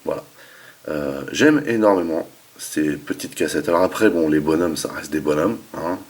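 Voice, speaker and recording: A man's voice: very deep voice, moderate pace. A man hosting a casual hands-on toy review, talking informally with slangy enthusiasm. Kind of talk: casual hands-on product demonstration.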